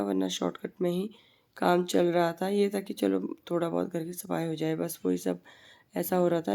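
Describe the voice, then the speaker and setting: Woman in her 30s, throat-sore and husky, rambling chatty voice-over, dark mellow tone. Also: lowish voice. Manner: chatty, rambling, repeats herself. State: hoarse, heavy voice from a sore throat. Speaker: family vlogger. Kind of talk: casual voice-over narration. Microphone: voice-over recorded separately from the footage.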